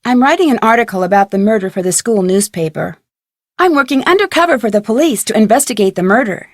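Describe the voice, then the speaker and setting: A woman's voice: a little high, animated, fast talker, no room sound, studio quality. A woman playing an inquisitive, polite teenage girl detective in an adventure game, with earnest scripted delivery. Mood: matter-of-fact.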